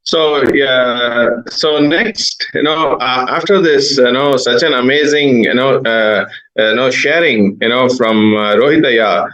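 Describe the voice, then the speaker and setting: A man hosting a live online program, introducing a guest with many pauses and fillers. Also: mid-range voice, fairly bright tone, muffled recording.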